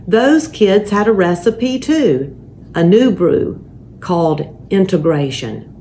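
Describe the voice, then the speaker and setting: A woman hosting a story time and reading to families in a measured, deliberate, expressive way. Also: medium pitch. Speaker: church story time host and reader. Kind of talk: children's picture book read-aloud with spoken introduction. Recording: faint background noise.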